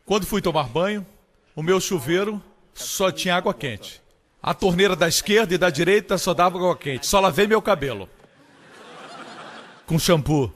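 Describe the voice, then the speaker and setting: A man preaching a sermon with emphatic delivery, climbing high in pitch on key phrases. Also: lively intonation, normal speed, pauses often, a bit bright, in a large hall. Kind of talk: Portuguese-dubbed sermon.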